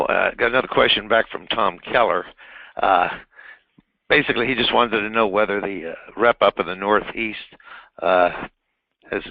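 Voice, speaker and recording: Halting, gravelly man on a phone line. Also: lowish voice, no room sound. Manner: halting, fumbling while reading questions aloud. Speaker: conference call moderator reading investor questions. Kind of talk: unscripted question-and-answer on an earnings call. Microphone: telephone on a conference call. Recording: narrow tinny phone line.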